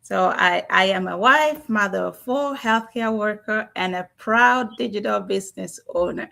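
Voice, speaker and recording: A middle-aged woman from South Sudan, a guest telling her story in an earnest, animated way over a video call. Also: mid-range voice, normal speed, creaky. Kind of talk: testimonial interview.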